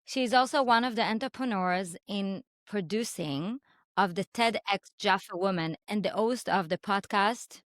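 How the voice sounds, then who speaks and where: A woman's voice: mid-range voice, moderate pace, no echo, studio quality. A woman whose first language is Hebrew, hosting a podcast interview over a video call, speaking enthusiastically with lots of fillers. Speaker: podcast host. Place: home in Dallas.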